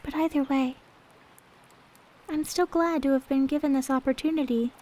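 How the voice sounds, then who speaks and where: A woman playing a gentle, devoted young maid companion in an ASMR roleplay, speaking in a soft, polite, tender way. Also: high voice, fairly even intonation, moderate pace, a little hiss or hum, natural voice. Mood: wistful, tender. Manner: polite, tender, demure storytelling. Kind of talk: ASMR roleplay, soft intimate character acting.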